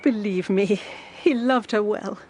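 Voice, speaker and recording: A woman's voice: medium pitch, measured pace, darkish tone, slight background noise, close to an everyday voice. An actress playing an anxious, guarded middle-aged woman, hesitant and pleading, in a period audio drama. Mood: sorrowful, secretive. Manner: hesitant, pleading, formally polite. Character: anxious, guarded older female guardian keeping a sorrowful secret.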